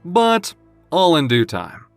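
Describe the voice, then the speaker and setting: A man's voice: on the high side, huge pitch swings, slow, no echo, soft background music, voice pushed up, full character voice. A man voicing a cruel, gloating villain in an audio drama, with a theatrical, taunting, mock-tender delivery.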